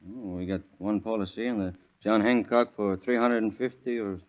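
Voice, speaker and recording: A hot-tempered young father played by a man from an old radio crime drama. His voice is fairly low, blunt and defensive, quick to get excited. The recording is dull, vintage and lo-fi. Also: close to an everyday voice. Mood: blunt, grumbling.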